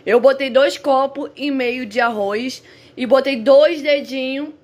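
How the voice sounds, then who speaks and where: A woman's voice: high voice, unhurried, a little mellow. A young woman with a Brazilian accent, chatting casually to viewers as she narrates a home cooking vlog. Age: young adult. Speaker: home vlogger. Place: small tiled home kitchen, indoors. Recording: noisy hissy home-kitchen recording.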